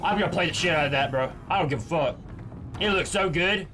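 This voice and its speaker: Higher-voiced slangy guy streaming. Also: fast. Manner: slangy, sweary. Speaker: game streamer. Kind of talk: casual live reaction commentary.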